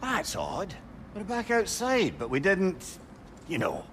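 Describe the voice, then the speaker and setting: A wry old sage, very lively swooping intonation, talkative, philosophical, video game companion, faint noise. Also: male voice, high voice, slow, exaggerated voice. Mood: curious, concerned. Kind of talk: dramatic video game acting.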